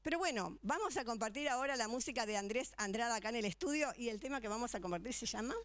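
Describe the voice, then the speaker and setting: A woman's voice: fairly high pitch, expressive, quick pace, no echo. An older woman with an Argentine accent hosting a TV music show, chatting effusively with a guest and often talking over him. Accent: Argentine Spanish. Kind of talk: casual on-air chat. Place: TV studio. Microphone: handheld mic.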